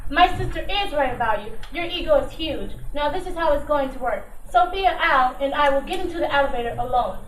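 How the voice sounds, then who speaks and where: In an echoey room, slightly away from the mic, a woman acting a threatening lady crook. Her high voice is defiant and commanding, in old radio crime drama style. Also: lively intonation, fairly bright tone. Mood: menacing. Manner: commanding, deliberate. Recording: echoey room, distant mic, faint noise.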